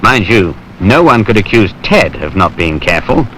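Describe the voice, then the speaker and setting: A man's voice: fairly low pitch, big pitch swings, normal speed, fairly bright tone. A British man giving wry, colloquial, conversational narration for a film. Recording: noisy 1970s industrial film soundtrack.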